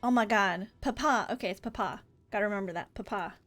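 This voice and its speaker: A woman livestreaming a game, chatting casually in an animated, informal way. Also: medium pitch, quick pace, soft background music. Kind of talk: casual chat while streaming a game. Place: indoor livestream setup with game music behind.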